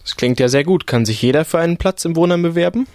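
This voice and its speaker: Interviewer with a dry close sound. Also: male voice, on the high side, fast, darkish tone, no echo. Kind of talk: reporter interview questions.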